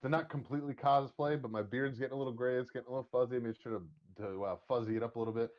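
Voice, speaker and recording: A man's voice: mid-range voice, steady tone, racing, dull audio. A man hosting a casual chat show, rambling and joking with crude language. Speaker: podcast host.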